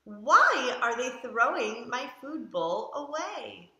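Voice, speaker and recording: A woman's voice: a little high, very animated, takes it slowly, pushed and bright, highs cut off, pitched above the performer's own voice, full character voice. A woman voicing an eager, curious family dog who narrates a children's picture book with simple, emphatic delivery. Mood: concerned. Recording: roomy echo around the voice.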